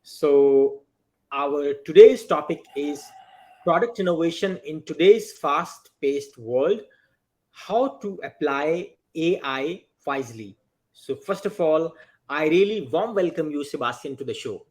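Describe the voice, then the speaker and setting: A man's voice: on the high side, expressive, takes it slowly, a little mellow, low-quality recording. A man hosting an interview podcast, with formal, slightly non-native phrasing. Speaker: podcast host.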